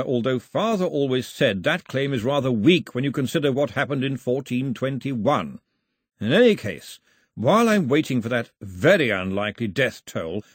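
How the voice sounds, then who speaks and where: A man's voice: medium pitch, dry recording, studio quality. A man voicing a grieving upper-class father who speaks in a clipped, understated and firm way. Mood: wry.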